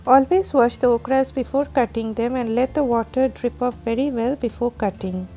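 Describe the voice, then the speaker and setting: A woman with a raspy, heavy voice from a flu, giving careful step-by-step cooking instructions as the host of a recipe channel. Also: fairly high pitch, moderate pace, dark tone, soft background music. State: hoarse from a flu. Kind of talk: recipe voice-over narration.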